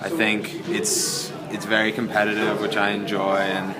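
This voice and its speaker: A young man, a student athlete, giving casual interview answers in short, halting phrases. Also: lowish voice, completely flat delivery, rough recording. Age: teenager or young adult. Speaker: high school swimmer. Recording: loud crowd noise. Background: loud crowd.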